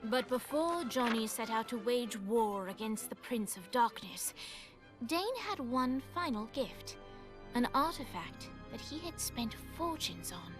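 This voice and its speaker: An actress playing a storybook narrator in a video game, telling the tale in a measured, theatrical way. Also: female voice, on the high side, big pitch swings, over music. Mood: solemn storytelling.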